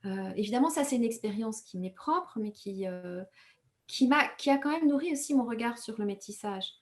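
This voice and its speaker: A woman from Rwanda, raised speaking Kinyarwanda, giving thoughtful interview answers as an author, measured and pausing often to find her words. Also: a little high, expressive. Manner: thoughtful, measured, with frequent hesitations and self-corrections.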